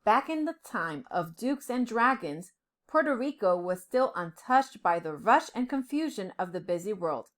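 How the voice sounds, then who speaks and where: A woman reading a children's storybook aloud with dramatic, deliberate phrasing, as a storyteller. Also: mid-range voice, sing-song, fairly bright tone. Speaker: storyteller, professor.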